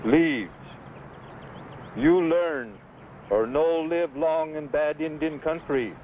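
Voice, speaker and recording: Slow-talking Native tracker, halting speech. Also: male voice, fairly high pitch. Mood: instructive. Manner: halting, clipped broken English. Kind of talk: 1950s radio adventure acting. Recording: dull lo-fi old radio, faint noise.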